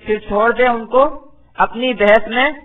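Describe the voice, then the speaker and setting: A man with a high, forceful voice delivers a religious lesson. He recites short phrases of scripture and then explains them, repeating himself like a teacher. The recording is dull and lo-fi, with some music behind him. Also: steady tone, a little slow. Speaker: Islamic scholar, Quran teacher. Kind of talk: religious lecture, verse-by-verse translation and explanation.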